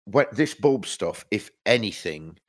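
A man's voice: lowish voice, lively intonation, unhurried. A man co-hosting a podcast, chatting casually about music, a bit stuffed up from hay fever and rambling with lots of restarts. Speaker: podcast co-host.

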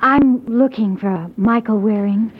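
Insistent, grave young client female actor, raised pitch, vintage radio detective drama, dull recording. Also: measured pace, noisy background, natural voice. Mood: reserved, grave. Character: determined, bereaved daughter hiring a detective. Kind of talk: 1950s radio drama acting. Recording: muffled 1950s radio recording.

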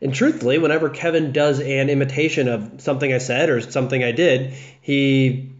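A man's voice: mid-range voice, steady tone, fairly quick. Chatty young man, lo-fi podcast. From United States, south of the Chicago area. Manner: rambling, chatty, with restarts and fillers. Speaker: fantasy football podcast host. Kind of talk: casual solo podcast chat. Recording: dull lo-fi podcast audio.

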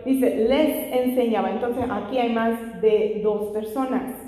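A woman's voice: fairly high pitch, fairly even intonation, a little slow, mellow. Woman teaching Bible study in echoey hall. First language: not Spanish. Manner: frequent "Gloria al Señor" interjections, asks the congregation questions. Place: church hall. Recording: echoey hall with long reverb.

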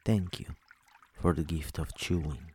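A man with a very deep, dark and relaxed voice guides a sleep meditation. He speaks slowly and soothingly, leaving long gaps between phrases. Faint noise like trickling water runs behind him.

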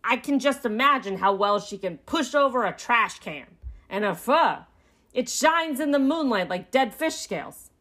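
A woman's voice: fairly high pitch, animated, normal speed, a bit bright, pitched above the performer's own voice. Woman as a gushing lovestruck raccoon. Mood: dreamy, gushing. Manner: gushing, boastful. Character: lovestruck, excitable young male raccoon.

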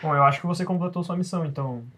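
A man's voice: on the high side, very fast, bright, forceful tone. A man chatting casually and jokily as a player in a tabletop role-playing session. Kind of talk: casual game-table chat.

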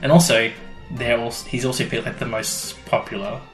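A man's voice: mid-range voice, fairly even intonation, moderate pace, faint music behind. A man co-hosting a podcast in casual chat, explaining things with a fan's enthusiasm. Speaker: podcast co-host. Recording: echoey room.

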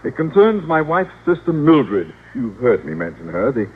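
An actor playing a pompous, blustery middle-aged high school principal in an old-time radio comedy. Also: male voice, sing-song, slightly rough. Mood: hearty, pleased. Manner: pompous, blustery, deliberate emphasis. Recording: tinny vintage radio recording with noise.

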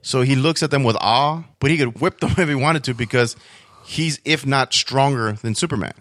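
A man's voice: mid-range voice, moderate pace, a bit bright, no room sound. A man chatting casually in an informal, rambling storytelling way, as a podcast guest. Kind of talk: casual chat about superhero comics and cartoons.

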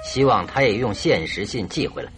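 A man's voice: lowish voice, animated, fairly quick, fairly bright tone, soft background music. A man acting in a radio drama as a confident, teasing detective who speaks with composure and likes to hold things back. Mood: amused, decisive. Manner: composed, teasing, withholding, with knowing chuckles.

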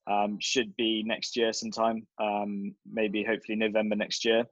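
A young man chatting casually with friends in a relaxed, jokey way. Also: lowish voice, moderate pace, no echo. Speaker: guest catching up with friends. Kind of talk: casual chat.

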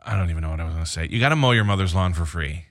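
A man with a low, deep voice chats as a podcast guest. He jokes in a deadpan way and riffs on silly ideas in casual conversation. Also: rapid-fire. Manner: deadpan, joking. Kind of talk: casual chat.

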